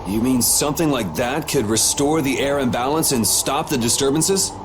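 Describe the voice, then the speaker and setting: Male actor, cool young game hero, dry and casual, mid-pitched, clear ambient noise behind. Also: normal speed, lightly acted. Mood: calm, decisive. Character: cool, laid-back young male swordsman leader. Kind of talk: English video game dub acting.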